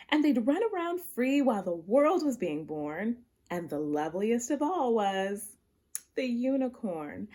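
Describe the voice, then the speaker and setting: A woman reads aloud for children in the manner of a teacher. Her voice sits at a medium pitch and moves up and down in an animated, expressive way. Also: breathy edge.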